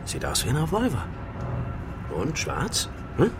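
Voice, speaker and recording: An actor playing a wry, teasing travelling companion in a radio crime drama. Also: male voice, a little high, huge pitch swings, measured pace, music in the background, full character voice. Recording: clear ambient vehicle noise.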